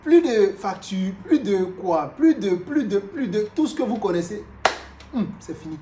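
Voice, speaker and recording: Animated preacher in an echoey room. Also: male voice, measured pace. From Cameroon. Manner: animated, swings from loud exclamations to low, quiet asides, with frequent repetitions. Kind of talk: sermon. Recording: roomy echo with faint background noise.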